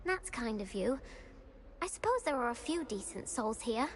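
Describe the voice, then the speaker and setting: A woman's voice: a little high, big pitch swings, moderate pace. A woman playing a self-pitying, indignant schoolgirl in a video game, with a theatrical, aggrieved delivery. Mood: plaintive, earnest. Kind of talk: video game character dialogue. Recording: long hall reverb, faint noise.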